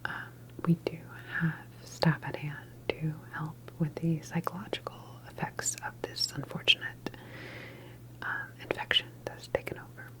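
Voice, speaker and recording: A woman's voice: low voice, little pitch movement, measured pace, low-quality recording. Whispering, reassuring female doctor, acted by a woman. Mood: soothing, sympathetic. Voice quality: soft, breathy whisper. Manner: gentle, reassuring, hesitant phrasing. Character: calm, reassuring female doctor at a government facility. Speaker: ASMR roleplay performer. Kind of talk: whispered ASMR medical roleplay.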